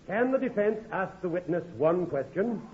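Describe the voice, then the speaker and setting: A high-voiced, formal defense lawyer. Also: male voice, expressive, a crowd in the background. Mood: polite, pointed. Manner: formal, deliberate legal phrasing. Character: polite, assured courtroom defense attorney. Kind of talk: 1950s radio comedy acting. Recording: phone-like old radio recording, audience behind.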